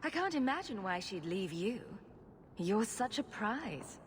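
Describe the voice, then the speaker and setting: A dry-witted heroine. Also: female voice, mid-range voice, sing-song, unhurried, slight background noise. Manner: dry, sarcastic.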